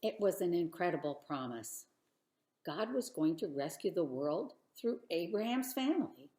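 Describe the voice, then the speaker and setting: A woman reading a children's bedtime story aloud with expressive narration, dramatic pauses and emphatic phrasing. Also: on the low side, roomy sound.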